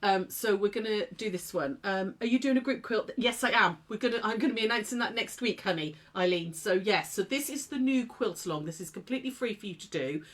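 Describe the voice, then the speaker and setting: A woman's voice: medium pitch, a little fast, hardly any pauses. A middle-aged woman hosting a live craft stream from her chilly home sewing studio. She sounds snuffly and blocked up, chatting casually with plenty of ums and affectionate greetings to her viewers. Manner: chatty, warm greetings to viewers, many fillers. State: stuffy nose, snuffly. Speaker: craft shop host.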